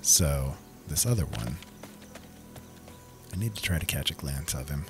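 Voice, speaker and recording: A man's voice: extremely low pitch, animated, unhurried, vocal fry, over music. A man giving casual, easygoing commentary on his own gameplay, talking to himself as he plays.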